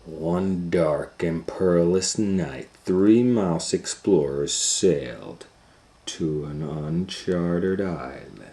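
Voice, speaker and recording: A man hosting storytime, reading a children's story aloud in a theatrical way with dramatic pauses and swells. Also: low voice, mellow. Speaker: storytime reader and host. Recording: roomy, echoing sound.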